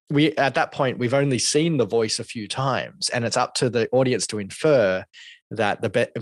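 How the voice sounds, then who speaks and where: A man's voice: on the low side, no room sound. A man co-hosting a podcast film review, speaking thoughtfully with many hesitations and restarts. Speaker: podcast co-host. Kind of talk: casual film review discussion.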